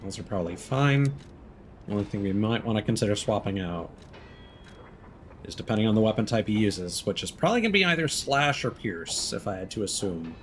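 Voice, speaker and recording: A video game streamer giving casual gameplay commentary, thinking aloud in a measured way. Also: medium pitch, big pitch swings, slight background noise.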